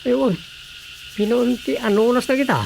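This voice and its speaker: A woman's voice: a little high, fairly even intonation, normal speed, vocal fry, darkish tone, a little hiss or hum, rough recording. A woman playing a sensible young villager in an Ilocano radio drama. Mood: questioning.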